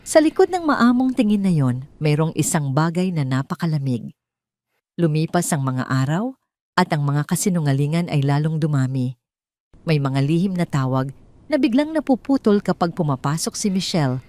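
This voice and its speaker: A woman with a Filipino accent reading a scripted love drama as a storyteller, with measured, dramatic delivery. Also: deep voice, animated, dark tone. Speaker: storyteller, narrator.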